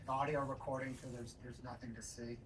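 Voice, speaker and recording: A man's voice: medium pitch, flat delivery, brisk. A man speaking as a prosecutor, formally addressing the court and questioning a witness. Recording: noisy courtroom audio, distant mic.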